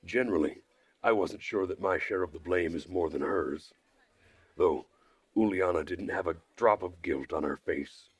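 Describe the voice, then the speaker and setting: A man with a slightly rough voice narrating a first-person visual novel story in a measured, dry manner. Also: low voice, dry recording. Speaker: streamer reading a visual novel aloud.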